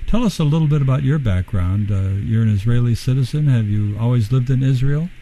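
A man's voice: mid-range voice, fairly quick, warm tone, a little hiss or hum. A male radio host interviewing a guest, talking hesitantly with many fillers.

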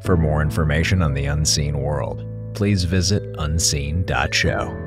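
A man's voice: rumbling low, measured pace. A man, a producer reading scripted podcast credits and addressing listeners. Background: music.

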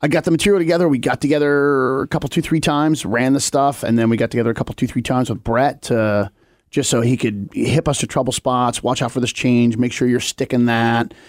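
A man on a podcast as a guest musician, talking casually about his recording sessions in a relaxed, rambling way full of fillers. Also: mid-range voice, brisk, dry recording, clean studio sound. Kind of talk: casual chat.

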